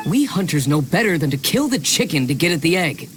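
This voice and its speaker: An actress playing a stern, rule-minded village hunter in a video game, with a firm, reproachful manner. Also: female voice, sits low, sing-song, a little fast, music in the background. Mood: scolding.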